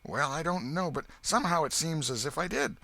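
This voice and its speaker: An anxious young vagabond boy from rural America, as acted by a male audiobook reader. The voice sits high and moves in animated swings over halting, ungrammatical country talk. The recording is dry and close. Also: gravelly, dry recording, heavily acted. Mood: hesitant, earnest. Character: frightened, ragged vagabond boy, humble and uneducated. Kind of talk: audiobook character voice.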